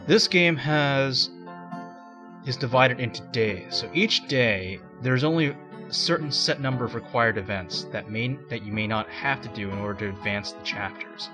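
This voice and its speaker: A man giving casual commentary over an adventure game, explaining the controls in a rambling way with restarts. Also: medium pitch. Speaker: let's play commentator. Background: game music.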